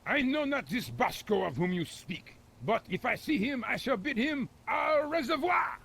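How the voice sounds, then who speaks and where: An actor playing a paranoid shopkeeper faking a French accent in a video game, shrill, theatrical and pompous. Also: male voice, sing-song, moderate pace, gravelly, slight background noise, full character voice, low-quality recording. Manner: pompous, shouting.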